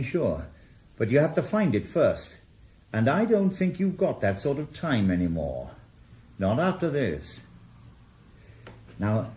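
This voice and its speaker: A man's voice: fairly low pitch, warm tone. An actor in a radio drama playing a retired male detective who is blunt and probing, with dry humour. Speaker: ex-policeman investigator.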